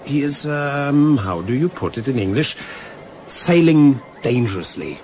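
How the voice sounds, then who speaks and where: An actor playing a courteous, insinuating German naval commander with a German accent in a radio play. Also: male voice, medium pitch, big pitch swings, measured pace, darkish tone, a little hiss or hum. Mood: veiled warning. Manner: courteous, insinuating, hesitant phrasing. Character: Von Brüning, suave German naval commander, slyly menacing.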